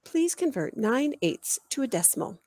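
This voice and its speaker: A woman's voice: fairly high pitch, big pitch swings, normal speed, darkish tone, no echo, studio quality, voice pushed up. A woman voicing an earnest, persuasive male middle-school math teacher in a storybook reading. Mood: questioning.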